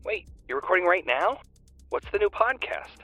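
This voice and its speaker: Guy playing a nervy indignant superfan on a tinny phone line, highish voice that jumps all over the place. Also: very animated, normal speed, bright, forceful tone, faint music behind, heavily acted. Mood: friendly, surprised. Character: nervy male superfan and fan fiction writer, indignant. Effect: phone line filter. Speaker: phone call guest. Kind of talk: naturalistic audio drama dialogue.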